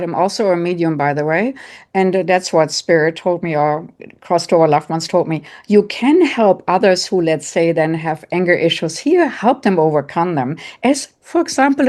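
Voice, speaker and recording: German-accented woman, calm spiritual guidance. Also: on the low side. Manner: calm, gently instructive, speaks in short repeated phrases. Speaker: psychic medium and coach, guest speaker. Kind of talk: online workshop talk with audience questions.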